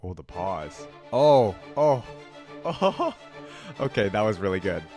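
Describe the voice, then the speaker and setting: A man's voice: fairly low pitch, very animated, slow, darkish tone. A man hosting a casual reaction video, talking in a rambling, chatty way with lots of fillers and restarts. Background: faint music playing.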